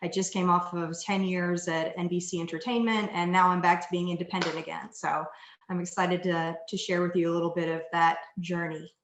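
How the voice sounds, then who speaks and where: A woman in her forties talks on a video call in a chatty, self-deprecating way. Her voice is lowish, and the room around her echoes. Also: little pitch movement, fairly quick, a little hiss or hum. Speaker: music supervisor. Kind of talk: casual self-introduction. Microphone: webcam on video call.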